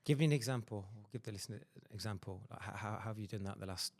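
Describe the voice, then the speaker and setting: Low-voiced male podcast host, dry sound. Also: creaky, darkish tone, no room sound. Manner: quick, emphatic, many fillers and restarts. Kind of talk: casual interview chat.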